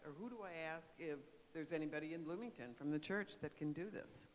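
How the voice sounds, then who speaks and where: A man's voice: a little high, fairly quick. A hesitant man in the audience asks for help in a slightly raised voice. He searches for his words as he goes. The recording has a long hall echo and a faint hiss beneath it. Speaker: audience member asking a question. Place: church meeting hall.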